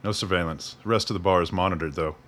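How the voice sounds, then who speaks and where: A man's voice: deep voice, fast talker, a bit bright. An actor playing an easygoing but cautious man in his early thirties in an audio drama. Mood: relaxed, friendly.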